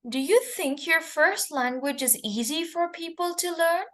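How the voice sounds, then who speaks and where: Woman, exam interlocutor, high pitch, unhurried questions. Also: a bit bright. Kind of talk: mock speaking test.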